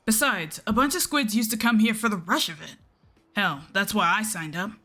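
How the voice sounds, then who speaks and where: A woman's voice: medium pitch, normal speed, bright, forceful tone, faint music behind, pitched above the performer's own voice. A woman voicing a nervous, easily offended young male inkling in a story, hesitant and defensive.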